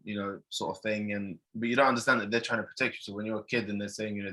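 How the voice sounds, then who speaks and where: A man's voice: on the low side, bright, forceful tone, poor audio. A man hosting a podcast, chatting casually and sharing relatable stories, originally from Pakistan. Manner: conversational, relatable anecdotes. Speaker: podcast host.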